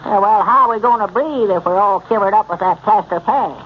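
Casting: male actor for high-pitched, literal-minded old Southern country storekeeper, gullible worrier, vintage radio comedy, muffled noisy sound. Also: fairly quick, natural voice. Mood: worried, doubtful. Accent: rural Southern US. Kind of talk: 1930s radio comedy acting.

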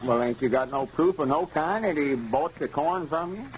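An actor playing a pompous, folksy storekeeper with a rural Arkansas accent in a 1930s radio comedy. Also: male voice, fairly high pitch, lively intonation, normal speed, natural voice. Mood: eager, self-important. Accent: Southern US, rural Arkansas. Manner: folksy, pompous, self-satisfied. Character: boastful, self-important rural storekeeper with lawyer ambitions. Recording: scratchy, muffled 1930s radio recording.

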